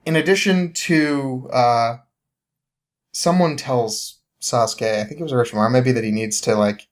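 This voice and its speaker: A man's voice: mid-range voice, normal speed. A young man recounting a story in casual chat as a podcast guest, informal and prone to chuckling.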